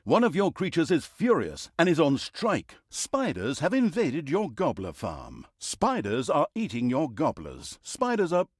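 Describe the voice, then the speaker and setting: Theatrical, wry fantasy game narrator. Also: male voice, a little high, slightly rough, dry recording, studio quality, heavily acted. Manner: wry, mock-grand, breaks into flustered asides. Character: wry, pompous male storyteller narrating a dark fantasy game.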